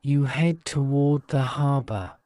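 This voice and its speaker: A man reads a bedtime guided-imagery story at a very slow, measured pace. His voice is soothing and mellow, with a smooth, clean tone. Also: mid-range voice, no echo. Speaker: narrator.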